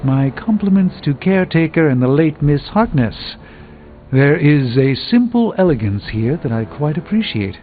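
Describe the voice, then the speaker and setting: A man's voice: fairly high pitch, sing-song, moderate pace. A man playing a haughty, erudite middle-aged sorcerer in a video game, speaking formally with precise, pompous diction. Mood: haughty approval. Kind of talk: scripted video game acting. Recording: thin phone-like band, music behind.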